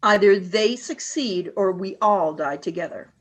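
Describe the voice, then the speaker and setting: A woman voicing a cold, commanding female alien leader in a fan radio play, giving flat, clipped orders. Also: medium pitch, a little slow.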